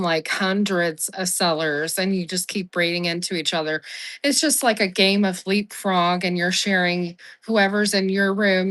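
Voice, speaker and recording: A woman hosting a live-selling stream, hoarse as she recovers from the flu, chatty and apologetic, with plenty of ums. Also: fairly low pitch, a bit bright. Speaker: live-selling app host. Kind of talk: casual livestream seller-support chat.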